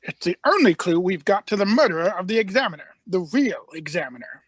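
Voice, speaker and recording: Radio play: eccentric time traveler played by a woman, husky, halting, slyly evasive. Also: fairly low pitch, big pitch swings, no echo. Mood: thoughtful. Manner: halting, musing. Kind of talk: live script reading, radio play.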